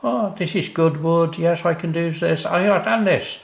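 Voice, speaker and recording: A man's voice: fairly high pitch, normal speed, gravelly, reverberant, voice pushed up. A man voicing a kind, cheery odd-job man with folksy, repetitive phrasing in a children's story. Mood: pleased. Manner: folksy, repeats his phrases.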